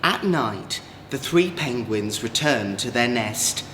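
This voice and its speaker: A man with an English accent, a drag queen storyteller, reading a children's story in an expressive, gentle way. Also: mid-range voice, slow pace. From Manchester, England. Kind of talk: children's storybook read-aloud. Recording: echoey room, clear background noise.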